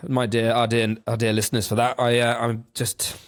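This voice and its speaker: A man's voice: medium pitch, flat delivery, moderate pace. Stuffy-nosed man with a cold. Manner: teasing, joking. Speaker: podcast co-host. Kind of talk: casual podcast banter.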